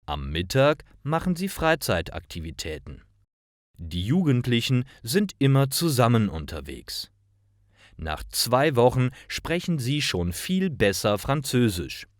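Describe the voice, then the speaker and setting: A man reading short stories for language learners in a careful, measured, clearly enunciated scripted narration. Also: medium pitch, big pitch swings, dry recording, clean studio sound.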